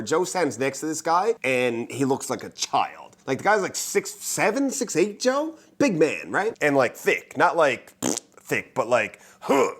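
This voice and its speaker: Man, higher-pitched, very lively intonation, casual storyteller, joking, conversational host. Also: husky. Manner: joking, self-interrupting, conversational. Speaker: real estate agent and show host.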